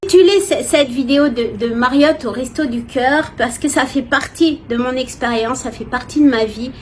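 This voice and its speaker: A woman from France, giving a personal, expressive and hesitant testimony to viewers in casual talk. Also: high-pitched, normal speed, soft background music. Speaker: vlogger. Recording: clear background noise, ambient sound.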